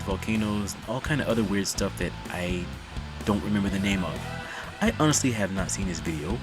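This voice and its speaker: A man hosting a reaction video, talking in a chatty, rambling, self-deprecating way. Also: lowish voice. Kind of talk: casual rambling commentary.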